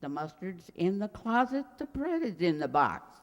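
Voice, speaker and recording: A woman's voice: fairly low pitch, big pitch swings, moderate pace, lo-fi sound. A woman playing a sweet, forgetful elderly landlady who dithers and rambles in a radio comedy. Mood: earnest, dithering. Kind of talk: radio comedy acting, old-time sitcom revival. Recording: very reverberant hall sound.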